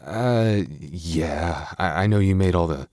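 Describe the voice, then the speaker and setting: Young male werewolf boyfriend , acted by a man: deep, soft, hesitant, protective and affectionate; intimate ASMR roleplay, dry recording. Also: slow pace, no echo. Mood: sheepish, reassuring.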